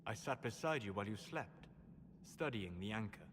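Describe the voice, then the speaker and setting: An actor playing a calm, scholarly mage in a video game, speaking in measured, formal, reflective phrases. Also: male voice, fairly low pitch, noisy background, close to an everyday voice. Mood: grave, reflective. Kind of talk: scripted video game dialogue.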